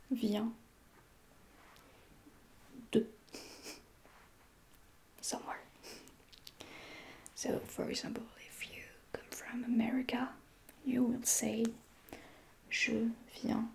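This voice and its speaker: A French woman, a native French speaker with a French accent, softly whispering a patient ASMR roleplay lesson as a French teacher. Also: a little high, creaky. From France. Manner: soft whispering, patient repetition of phrases.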